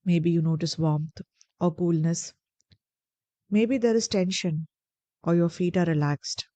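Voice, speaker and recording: A woman guiding a meditation in a gentle, deliberate, soothing way, pausing between phrases. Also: on the low side, dry recording. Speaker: meditation guide. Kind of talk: guided meditation narration.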